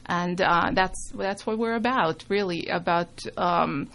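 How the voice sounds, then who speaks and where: A woman's voice: on the low side, unhurried, fairly bright tone, slight background noise. A middle-aged woman born in Serbia, a music school founder chatting as a radio guest, with many ums. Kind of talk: casual radio interview.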